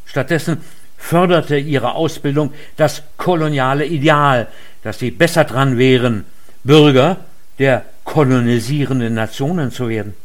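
A man delivering a formal lecture in a measured, earnest way. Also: mid-range voice. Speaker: lecture speaker. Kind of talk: formal read speech.

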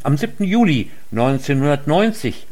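A man delivering a formal lecture in a measured, earnest way. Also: fairly high pitch, sing-song, a little mellow. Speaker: lecture speaker. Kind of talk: formal read speech.

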